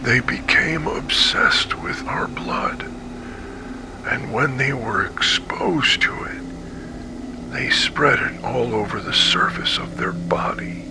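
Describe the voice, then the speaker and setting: An actor playing a traumatized, weakened male survivor giving halting, breathy testimony in an analog horror story. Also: mid-range voice, animated. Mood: haunted, grim. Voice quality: breathy, whispery. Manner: slow, halting, haunted. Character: traumatized male survivor of a monstrous captivity, haunted and weak.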